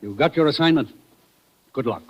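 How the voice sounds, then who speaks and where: An actor with an American accent playing an authoritative, businesslike commissioner in a 1940s radio drama. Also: male voice, on the high side, lively intonation, moderate pace, husky, faint music behind. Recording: dull, lo-fi 1940s radio recording.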